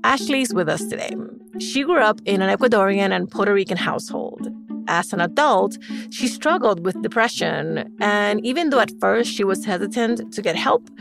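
A woman introduces a podcast segment in a scripted host style. Her voice sits at a middling pitch with noticeable vocal fry, and music plays behind her.

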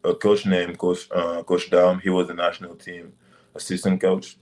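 A young man from Senegal, a basketball prospect, answering interview questions in loose, relaxed phrasing over a video call. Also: sits low, normal speed. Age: young adult. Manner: relaxed, loose phrasing with many "like" and "you know".

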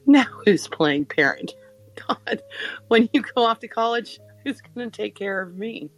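A woman playing a flustered, apologetic but affectionate guardian of a teenager in an audio drama, casual and halting. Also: fairly high pitch, expressive, soft background music, low-quality recording. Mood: pleading, affectionate.

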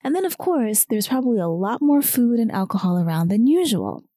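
A woman hosting her own weight loss podcast while losing her voice, talking softer than usual in an encouraging tone. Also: fairly high pitch, big pitch swings, a little fast, dry recording, clean studio sound. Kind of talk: solo podcast talk.

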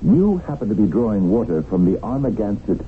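Crooked male businessman, low voice with big swings, officious, on muffled, hissy vintage radio. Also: sing-song, brisk. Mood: smug, oily. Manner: mock-courteous, pedantic, curt with staff. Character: sly, stingy, smoothly officious swindling businessman. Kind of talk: 1970s radio drama acting. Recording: muffled, noisy 1970s radio broadcast.

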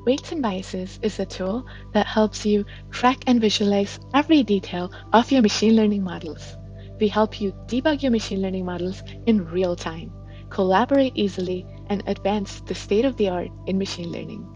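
A woman reading a scripted sponsor ad in a smooth, rehearsed way. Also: mid-range voice, normal speed. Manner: smooth, even, rehearsed delivery. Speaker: sponsor ad reader. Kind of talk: scripted ad read. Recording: distant voice over background music.

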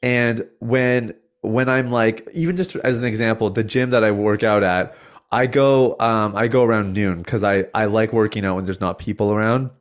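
A man's voice: on the low side, steady tone, moderate pace. Candid thoughtful man in his thirties. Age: 30s. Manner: thoughtful, candid, searching for words. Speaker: podcast host. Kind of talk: candid conversational interview.

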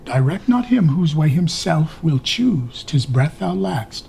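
A man playing a world-weary old duke with a gravelly voice, bitterly cautioning in verse in a Shakespearean radio drama. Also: on the high side, very animated, measured pace, darkish tone, a little hiss or hum, full character voice.

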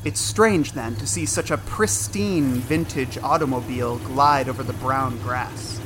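A man giving measured, suspenseful scripted narration for an audio drama. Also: mid-range voice, fairly bright tone, a little hiss or hum. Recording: music and effects under narration.

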